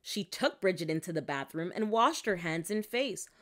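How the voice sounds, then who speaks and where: A woman reading a children's picture book aloud in an expressive narrating style. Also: lowish voice, moderate pace, slight background noise. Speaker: storybook reader.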